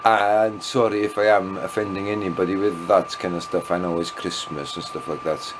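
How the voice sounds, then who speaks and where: A man's voice: sits low, fairly even intonation, moderate pace, background noise. A man with a Welsh accent, a native Welsh speaker, rambling through an evening video diary, a little tipsy and often losing his thread. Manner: meandering, loses his thread, self-deprecating asides. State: tipsy after wine. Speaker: vlogger.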